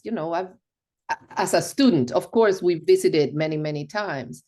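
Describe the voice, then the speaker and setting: Woman telling life stories on a podcast call, lower voice. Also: dark tone. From Argentina. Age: middle-aged. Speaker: podcast guest. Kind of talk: storytelling interview.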